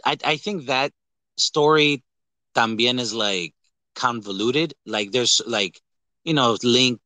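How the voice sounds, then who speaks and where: A man co-hosting a podcast, chatting casually about films and games in an animated, rambling, profane way. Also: medium pitch, slow, no room sound. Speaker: podcast co-host.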